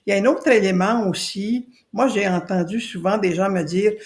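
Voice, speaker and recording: A woman's voice: mid-range voice, moderate pace. Lady guest, earnest and emphatic, keeps hammering her point. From Acadia, New Brunswick. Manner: earnest, emphatic, repeats key phrases. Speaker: guest, citizen committee spokesperson. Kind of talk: podcast interview.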